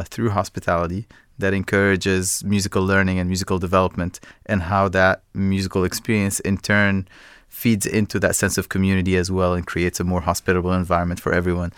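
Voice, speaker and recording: Very deep, even-toned man from Egypt. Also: clean tone, dry recording, clean studio sound. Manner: thoughtful, measured, frequent fillers. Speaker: guest, music project co-founder. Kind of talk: interview answers.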